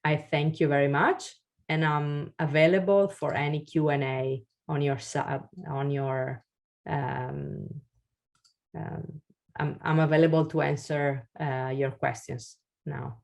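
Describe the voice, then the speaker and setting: Low-voiced, slow, hesitant woman. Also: steady tone, vocal fry, darkish tone. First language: Italian. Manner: hesitant, many fillers and restarts. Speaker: investor workshop speaker. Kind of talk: webinar presentation and Q&A.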